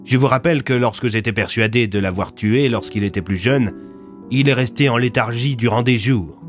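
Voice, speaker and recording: A man's voice: mid-range voice, moderate pace, natural voice. A man playing a resolute, self-sacrificing hero in an audio drama, speaking firmly and earnestly. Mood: explanatory. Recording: music under the voice.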